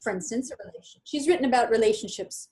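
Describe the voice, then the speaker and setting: A woman with a fairly high voice moderates an online panel. She reads out audience questions in an orderly, clear way. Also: animated, fast talker, darkish tone.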